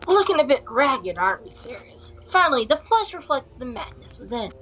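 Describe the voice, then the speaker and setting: A woman's voice: sits high, very animated, moderate pace, sharp tone, heavily acted, rough recording. A woman playing an earnest, knowing male professor wizard in an audio drama, with theatrical delivery. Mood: wry, taunting. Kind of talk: fan audio drama acting.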